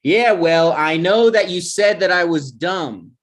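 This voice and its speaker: A man's voice: high-pitched, animated, measured pace. Man acting hesitant, insecure, jealous boyfriend. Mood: hurt, indignant. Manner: hesitant, stammering. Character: insecure, jealous boyfriend, unemployed grad student. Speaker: actor in a screenplay table read. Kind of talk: naturalistic comedy table read.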